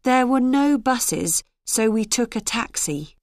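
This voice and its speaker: Higher-voiced woman reading sentences slowly. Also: dry recording. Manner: slow, clear, expressive reading. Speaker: example sentence reader. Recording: dry studio recording.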